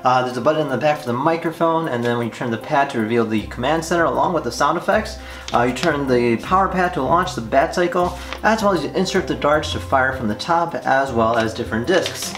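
A man's voice: mid-range voice, a little fast, a bit bright. A man in his twenties hosting a toy unboxing and demonstration in an enthusiastic, casual way. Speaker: toy review host. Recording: roomy echo, music behind. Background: clear background music.

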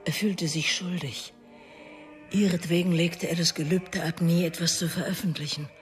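An actress playing a bitter, wounded middle-aged mother who speaks of her estranged son in an intense radio drama monologue, moving between hushed and emphatic delivery. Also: on the low side, steady tone, moderate pace, soft background music, lightly acted.

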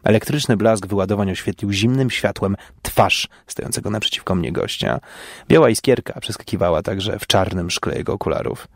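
A man narrates fiction dramatically as a storyteller. His voice sits fairly low with a strong gravelly creak. The sound is dry and close, with very little room. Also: animated, brisk, dry recording. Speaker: storyteller, audio show host.

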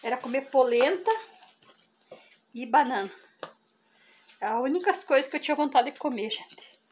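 A woman's voice: high voice, normal speed, a little hiss or hum. A middle-aged woman, chatting to her viewers as she shows a cooking recipe in her farm kitchen and keeps calling them "gente". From Brazil. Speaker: cooking vlogger. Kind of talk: casual cooking demonstration.

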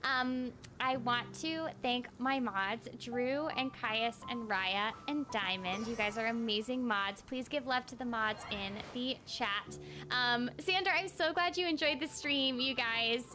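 A young woman chatting casually and brightly animated while livestreaming a game. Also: on the high side, measured pace. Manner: bubbly, animated, giggly. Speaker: game streamer. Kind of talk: casual livestream gameplay chat. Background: game music.

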